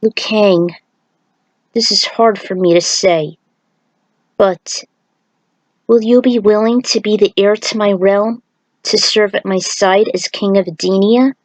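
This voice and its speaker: Woman as a noble, slow-spoken warrior princess. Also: mid-range voice, steady tone, dry recording, lightly acted, rough recording. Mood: grateful, hesitant.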